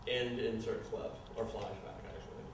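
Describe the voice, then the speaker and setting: A man narrating stage directions at a live staged reading in an informal, halting way. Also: fairly low pitch, fairly even intonation. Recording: echoey, noisy room from far off.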